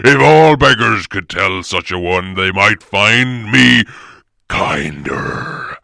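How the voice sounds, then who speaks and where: A huge storybook bear-man, low and gravelly. He speaks in slow, heavy, blunt commands with a wry, suspicious growl. The sound is dry and close. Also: animated, pushed and bright, no room sound, heavily acted. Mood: gruff, approving.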